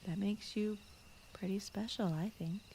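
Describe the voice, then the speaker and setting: A woman voicing a gentle, shy fox spirit who guards a forest, speaking softly and often in whispers in a soothing bedtime ASMR roleplay style. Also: mid-range voice, fairly even intonation, a little slow, slight background noise, close to an everyday voice. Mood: shy, rambling. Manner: soft-spoken, often slipping into whispers, rambling, apologetic. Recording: clear night cricket and forest ambience. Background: crickets, insects, night forest ambience.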